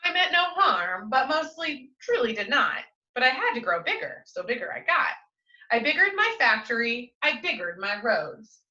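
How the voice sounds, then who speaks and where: Lady reading as stubborn greedy business guy in a kids book, emphatic rhymes, highish voice, mic a bit far. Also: sing-song, normal speed, bright, forceful tone. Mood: self-justifying. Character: greedy, stubborn storybook industrialist recounting his misdeeds. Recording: roomy, slightly dull, distant microphone.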